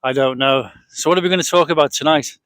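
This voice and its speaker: A British man hosts a relaxed vlog in casual conversation. His voice sits a little high for a man, and the sound is dry and close, with very little echo. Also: fast. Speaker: vlog host. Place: outdoors on a boat deck at a marina, breezy evening. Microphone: cheap handheld microphone shared with partner.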